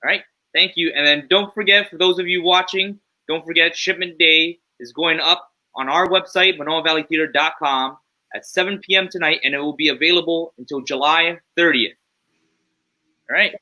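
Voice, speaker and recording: A man hosting a theater livestream, chatting in a friendly, upbeat way and reacting with lively interjections. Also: on the high side, normal speed, poor audio. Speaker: host. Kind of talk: casual interview chat.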